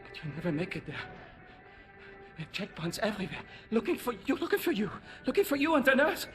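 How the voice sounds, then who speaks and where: A man's voice: sits high, very animated, fast, a bit bright. An actor playing a terrified male prisoner under interrogation, pleading, then taunting, in a game cutscene. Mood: frantic taunting. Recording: game cutscene audio over music.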